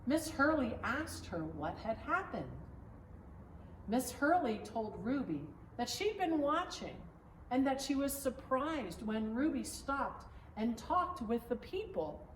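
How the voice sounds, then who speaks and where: Slow, expressive woman reading in an echoey room. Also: on the high side. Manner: measured, expressive storytelling. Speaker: pastor reading a story aloud. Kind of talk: children's picture-book read-aloud. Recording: roomy echo, distant mic, background noise.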